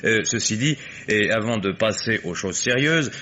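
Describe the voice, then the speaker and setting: Low-voiced, cool detective. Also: male voice, lively intonation, normal speed, bright, forceful tone. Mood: brisk, assured. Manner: assured, wry, explanatory. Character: confident, sly male private detective, coolly ironic. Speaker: actor in a radio mystery. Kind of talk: French radio detective drama acting. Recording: noisy old radio drama recording.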